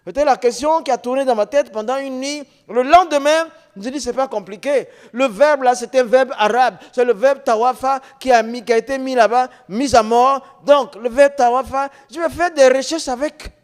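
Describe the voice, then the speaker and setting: High-voiced man preaching in a reverberant hall. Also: moderate pace, hardly any pauses. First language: non-French mother tongue. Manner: emphatic, repetitive, rhetorical questions. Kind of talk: testimony preaching to an audience.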